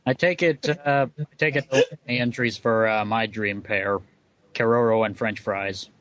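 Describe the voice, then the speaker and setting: A man's voice: fairly low pitch, fairly even intonation, unhurried, a crowd in the background. A man taking part in a casual group podcast chat. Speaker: podcast participant. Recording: muffled, noisy voice chat.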